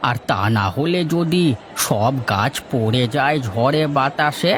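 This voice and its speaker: A man's voice: mid-range voice, lively intonation, slow, a bit bright, a little hiss or hum. A man voicing a grumbling village trader uncle who gives cautionary advice in a cartoon folk tale. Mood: cautioning.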